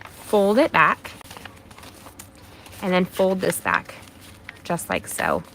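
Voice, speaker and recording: A woman's voice: on the low side, wildly swooping pitch, slow, a little hiss or hum, low-quality recording. A woman hosting a live card-making stream, chatting and encouraging her viewers as she works, her voice a little nasal from allergies. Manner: warm encouraging, talks to viewers by name. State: mild allergies. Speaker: craft demonstrator hosting a livestream. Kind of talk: chatty live craft demonstration.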